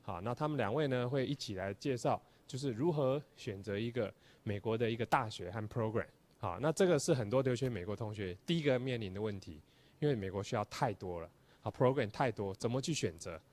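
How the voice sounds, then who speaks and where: A man's voice: medium pitch, animated, fairly quick, darkish tone. A man introducing guest speakers to an audience in a formal, filler-heavy way.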